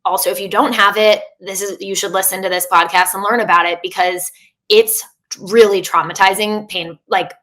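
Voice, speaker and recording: A woman's voice: mid-range voice, steady tone, moderate pace, bright, forceful tone. A woman in her thirties, a coach talking as a podcast guest, speaking openly in a chatty way with many fillers and restarts. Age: 30s. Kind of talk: candid casual interview.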